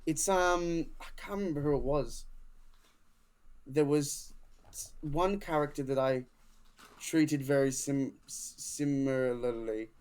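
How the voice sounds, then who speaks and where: A man co-hosting a podcast in casual chat, excitable, swearing freely and tripping over restarts. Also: on the high side, slow pace, long pauses, clear, resonant tone. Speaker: podcast co-host, hobby voice actor.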